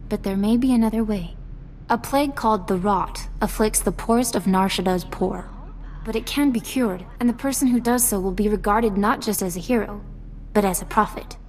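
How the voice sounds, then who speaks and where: An actress playing a deferential, clever young cult follower in a video game, speaking respectfully and earnestly. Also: female voice, medium pitch, moderate pace, slight background noise, lightly acted. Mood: assured.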